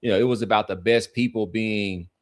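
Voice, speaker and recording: A man's voice: fairly low pitch, steady tone, brisk. A man co-hosting a sports podcast, musing conversationally and repeating phrases for emphasis. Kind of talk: casual sports commentary.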